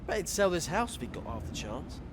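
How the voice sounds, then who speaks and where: A man's voice: a little high, sing-song, fairly quick, noisy background. An actor playing a wry young male wizard sidekick who grumbles dryly. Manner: dry, grumbling remarks. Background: game music.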